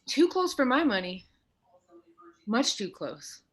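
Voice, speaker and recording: An actress playing an anxious suburban housewife in a radio play. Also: female voice, fairly high pitch, sing-song, slow. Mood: alarmed.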